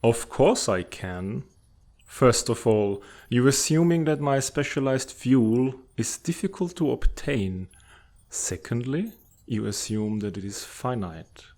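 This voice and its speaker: A man's voice: medium pitch, animated, slow pace, darkish tone. A man playing a sinister, coolly menacing villain who speaks formally and theatrically in a comic fandub. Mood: smug, assured. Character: sinister scheming male villain, coolly menacing and self-assured. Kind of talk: dramatic comic fandub acting.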